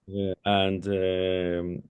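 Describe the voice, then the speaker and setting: Low-voiced man, rambling. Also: completely flat delivery, very slow, clear, resonant tone, narrow, tinny audio. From Kurdistan, Iraq. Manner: rambling, with many restarts and "you know". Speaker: podcast guest. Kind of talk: casual conversational interview.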